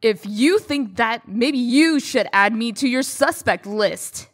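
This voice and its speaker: A composed, stern female official played by a woman with a slightly high voice and measured pace. She is formal and insistent, pressing her questions in a dramatic fairy-tale comic dub. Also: animated. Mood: offended.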